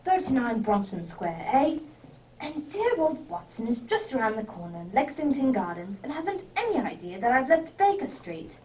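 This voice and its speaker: A woman playing a brisk, commanding, inquisitive male detective in an amateur radio drama. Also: high-pitched, big pitch swings, darkish tone, distant mic, a little hiss or hum, low-quality recording. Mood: musing.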